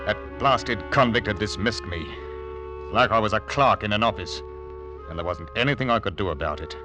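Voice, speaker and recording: A man's voice: lowish voice, lively intonation, moderate pace, natural voice. A man playing a grave sea captain narrating. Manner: grave, tense, flaring into shouts. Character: beleaguered male ship captain narrating his ordeal. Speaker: narrator and lead. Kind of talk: 1940s radio drama narration. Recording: old radio broadcast with music underneath.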